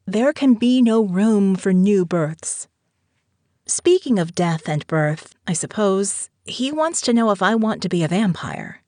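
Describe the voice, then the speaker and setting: A woman's voice: fairly low pitch, a little mellow, dry recording. Woman as a candid, wry diarist, dry studio sound. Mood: frank, philosophical. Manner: frank, conversational, wry. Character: candid, tender-hearted mortal woman, wry animal lover. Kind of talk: first-person audiobook diary narration.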